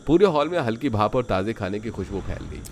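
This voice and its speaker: A man's voice: fairly low pitch, expressive, brisk, a little mellow, slight background noise. A man narrating a cartoon story in a scripted storytelling style.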